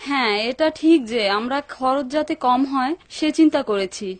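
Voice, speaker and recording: A woman's voice: high-pitched, normal speed, no echo, natural voice. A woman playing a nosy, greedy, nagging wife in a dubbed cartoon, by turns placating and needling.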